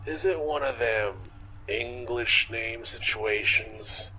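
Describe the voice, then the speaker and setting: A man playing a gentle, folksy old homeless man in an audio drama, speaking with courtly politeness. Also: mid-range voice, muffled recording, a little hiss or hum. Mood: gently curious. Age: older. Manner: courtly, folksy, slow-spoken. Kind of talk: naturalistic audio drama acting.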